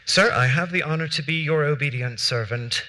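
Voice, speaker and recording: A young actor reading letters as a proud statesman who answers in crisp, formal, curtly defensive language on a theater stage. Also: a little high, moderate pace, bright, forceful tone, rough recording. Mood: firm. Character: defensive proud male statesman refusing demands.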